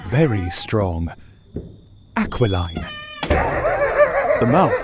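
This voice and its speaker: A haunted gentleman captive narrating an old-style radio horror play. His voice is fairly high and very animated, emphatic and anxious, with music playing behind. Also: exaggerated voice. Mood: uneasy, descriptive. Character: Jonathan Harker, anxious Englishman imprisoned, recounting horrors. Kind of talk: vintage radio drama narration. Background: dramatic music.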